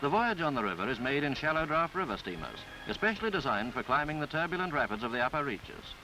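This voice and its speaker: A man reading a scripted 1950s newsreel travelogue in a formal, florid, measured way. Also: a little high, big pitch swings, rough recording. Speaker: newsreel narrator. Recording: 1950s film soundtrack with background music. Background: music.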